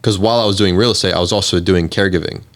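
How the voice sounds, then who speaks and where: A man's voice: sits low, steady tone, rapid-fire, fairly bright tone. A man in his twenties telling his own story as an interview guest in a casual, informal way, often quoting his thoughts. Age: 20s. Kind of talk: casual chat, personal storytelling.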